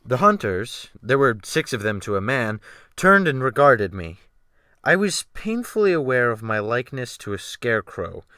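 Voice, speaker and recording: A man narrating a classic novel as a dramatic audiobook, with deliberate, expressive phrasing. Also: mid-range voice, dry recording, clean studio sound. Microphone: close USB desktop microphone.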